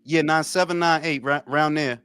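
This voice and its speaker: A man in his forties from New York, co-hosting a casual talk show and telling loud, profane stories. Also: on the high side, moderate pace, clear, resonant tone, dry recording, soft background music. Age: 40s. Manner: animated, profane storytelling. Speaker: podcast co-host. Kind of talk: casual chat.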